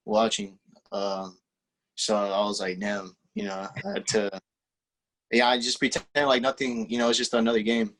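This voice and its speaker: A teenage man, a young footballer whose first language is Spanish, answering interview questions in a relaxed, halting way with many fillers and restarts. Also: lowish voice, low-quality recording. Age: teens. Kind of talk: casual interview.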